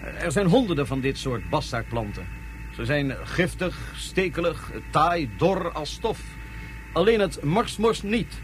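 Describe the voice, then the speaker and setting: A proud nomad chieftain explains his harsh world in measured, slightly hesitant sentences, his voice a bit high for a man. A faint hiss runs under the old tape. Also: expressive. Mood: explaining. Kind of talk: radio play acting.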